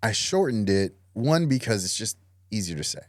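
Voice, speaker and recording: A man's voice: lowish voice, sing-song, moderate pace, dry recording, clean studio sound. A man talking casually as a podcast guest, easygoing, with lots of "you know" and fillers. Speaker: podcast guest, Marine veteran. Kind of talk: casual interview conversation.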